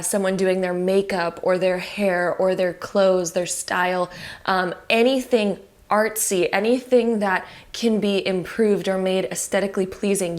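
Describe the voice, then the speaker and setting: A woman giving a pick-a-card tarot reading to her viewers, soft and affirming, her voice a little cracked from having just woken up. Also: medium pitch. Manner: soft, affirming and spiritual, full of fillers. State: voice cracking after just waking.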